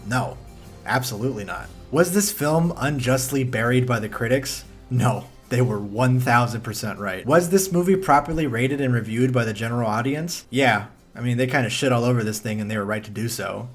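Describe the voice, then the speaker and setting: A man's voice: medium pitch, normal speed, faint music behind. A man narrating a scripted video essay in a blunt, profane, opinionated way.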